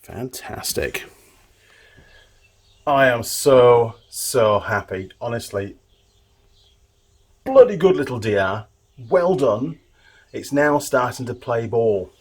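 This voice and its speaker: A man's voice: medium pitch, lively intonation, slow, pauses often, a little hiss or hum. A man talking casually to viewers as he works with his hands, thinking aloud like a mechanic hosting a workshop vlog. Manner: chatty, informal, talking to the viewer while working. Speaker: motorbike mechanic and video host.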